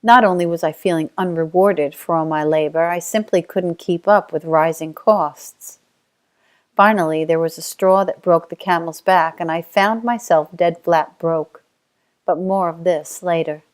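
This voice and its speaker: Lower-voiced Australian woman reading her story. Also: unhurried. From Australia (Tasmania). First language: Auslan (sign language). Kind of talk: reading a personal life story.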